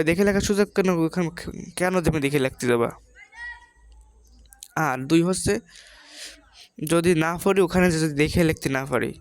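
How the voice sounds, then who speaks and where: A woman with a Bangladeshi accent giving informal moral advice in a didactic, questioning way, repeating her points. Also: lowish voice.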